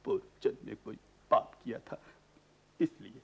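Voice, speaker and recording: A man's voice: moderate pace, fairly bright tone, natural voice. An actor playing an older, despairing, eccentric father in a radio play, theatrical and grandiloquent as he philosophises and declaims. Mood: weary, resigned. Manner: theatrical, grandiloquent, swinging from muted to crying out. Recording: dull lo-fi archive radio recording.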